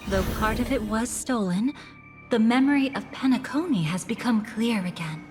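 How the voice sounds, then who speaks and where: An actress playing a composed, enigmatic woman who guides a listener through memories in a video game, speaking in a measured, cryptic way. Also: a little high, natural voice. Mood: composed, mysterious. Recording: long reverb under clear music.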